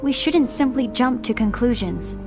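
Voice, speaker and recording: A woman's voice: a little high, expressive, moderate pace, creaky. An actress playing a poised, diplomatic female warrior leader who speaks formally. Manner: formal, composed. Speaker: voice actor in a game cutscene. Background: game music.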